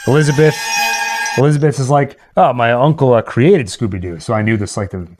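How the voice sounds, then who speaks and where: A man's voice: mid-range voice, fairly quick, a little mellow, a little hiss or hum. A man hosting a livestream, chatting casually with his viewers. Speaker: livestream host.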